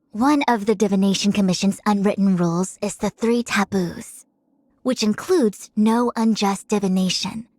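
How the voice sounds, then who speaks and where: A woman's voice: mid-range voice, moderate pace, a little mellow, natural voice. A woman playing a proud young female diviner in a video game, speaking in composed, formal, lofty phrases. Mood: matter-of-fact. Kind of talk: scripted game character voice lines. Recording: studio-dry voice over music. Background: music.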